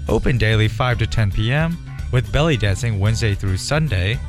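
A man reading sponsor announcements in a slow, even way. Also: lowish voice, over music.

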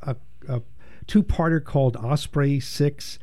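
A man's voice: mid-range voice, unhurried, dark tone. A man hosting an interview, asking friendly questions with small stumbles and restarts. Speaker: interview host. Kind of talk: casual interview.

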